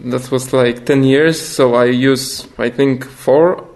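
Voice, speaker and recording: A young man from Poland with a Polish accent, a documentary filmmaker answering interview questions in halting English, often pausing to search for words. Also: medium pitch, steady tone, a little mellow.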